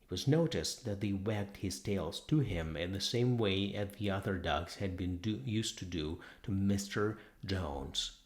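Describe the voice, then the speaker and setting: A man's voice: low voice, unhurried, few pauses. A man narrating a novel as an audiobook, reading scripted prose in a dramatic storytelling style.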